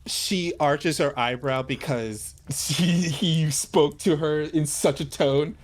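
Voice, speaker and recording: A man narrating a tabletop game, improvising descriptions in halting, informal phrasing. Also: on the high side.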